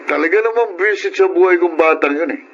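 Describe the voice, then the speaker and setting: A man's voice: sits high, unhurried, fairly bright tone, dull audio, a little hiss or hum. An actor playing a callous, irritable, tipsy father in a radio drama, brusque and scornful. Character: callous, irritable drunken young master and father.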